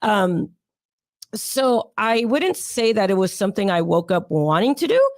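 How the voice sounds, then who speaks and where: A young adult woman, hoarse and raspy from sinus pressure, chatting as an interview guest in a rambling way with many fillers. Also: medium pitch, big pitch swings, measured pace, no room sound, studio quality. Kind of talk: casual interview storytelling.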